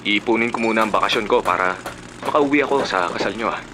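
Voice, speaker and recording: A man's voice: on the low side, quick pace, background noise, close to an everyday voice. A man playing an outspoken, generous elder brother in a Filipino radio drama, with a Filipino accent and an emphatic, sardonic delivery. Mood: teasing, encouraging.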